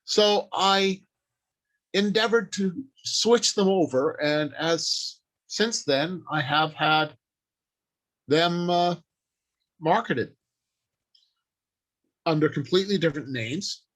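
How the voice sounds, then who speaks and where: A man's voice: a little high, slow pace, long pauses, a bit bright, low-quality recording. A man giving an informal instructional talk as a writer, explaining things plainly and stressing key points, partly improvising from notes. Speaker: writer and convention panel speaker.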